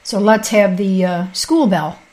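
Chatty woman host clearing her throat. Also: medium pitch, unhurried, background noise. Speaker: podcast host; health practitioner with patients. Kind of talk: casual monologue.

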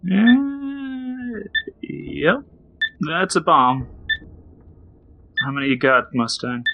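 An actor playing a sardonic, wisecracking mercenary with a gravelly, drawling, sarcastic delivery in an audio drama. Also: male voice, on the high side, huge pitch swings, drawn-out words, muffled recording, exaggerated voice.

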